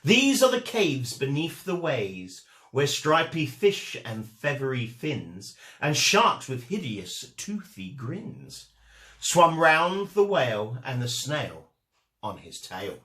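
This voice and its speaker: A man reading a rhyming children's story aloud with measured, sing-song delivery and dramatic emphasis. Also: mid-range voice, sing-song, sharp tone, reverberant.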